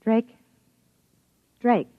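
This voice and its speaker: An actress playing an uneasy, introspective wife narrating a 1950s radio drama. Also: female voice, no echo. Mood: insistent. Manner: introspective, measured. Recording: tinny old radio broadcast with music.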